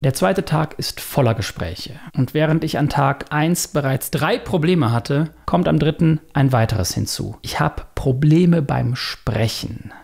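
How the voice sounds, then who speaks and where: A man's voice: a little high, lively intonation, a little slow, vocal fry, hardly any pauses, a little mellow. A man narrating a self-experiment video as its creator, in scripted documentary style with casual, personal remarks.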